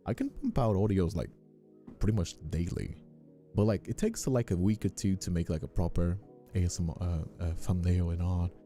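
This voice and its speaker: Male streamer, mellow and very low-voiced, for loose, earnest, off-the-cuff chat with lively inflection. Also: normal speed. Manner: hesitant, repetitive, informal, earnest. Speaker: ASMR creator and streamer hosting a chat. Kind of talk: casual rambling livestream chat. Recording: faint music behind the voice. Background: faint music.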